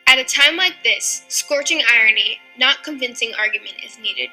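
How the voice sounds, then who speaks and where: A woman delivers solemn oratory in a very bright, fairly high voice. She is deliberate and emphatic, weighing each phrase over faint music. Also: lively intonation, rough recording. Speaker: reader of a historic speech.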